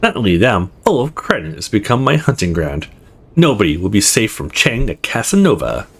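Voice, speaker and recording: A man's voice: lowish voice, very animated, normal speed, slightly rough, full character voice. A man voicing a boastful young wannabe ladies' man in a visual novel, pompous and theatrical.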